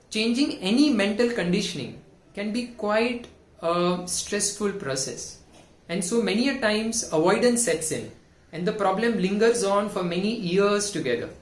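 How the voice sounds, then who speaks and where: A man giving an earnest, measured self-help talk with numbered points. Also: high voice, fairly bright tone, roomy sound. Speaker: self-help video host. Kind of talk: scripted motivational talk.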